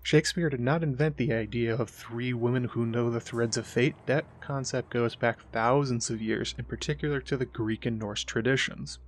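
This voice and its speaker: Mid-pitched man narrating a scripted essay. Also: fairly even intonation, normal speed, hardly any pauses. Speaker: host of a literary video essay.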